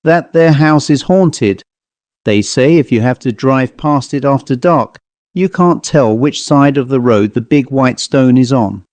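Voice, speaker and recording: A man's voice: medium pitch, animated, a little slow, a little mellow, no echo, natural voice. A man voicing a friendly, easily astonished rural man in an audiobook story, with folksy, exclaiming country talk. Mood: astonished, excited.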